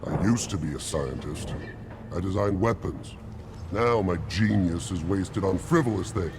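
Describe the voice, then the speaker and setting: An actor playing a pompous, bitter alien scientist with a grandiose manner, in a video game. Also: male voice, deep voice, measured pace, noisy background. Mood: bitter, boastful. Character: pompous, bitter alien krogan scientist obsessed with explosive weapons. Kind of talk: video game character dialogue.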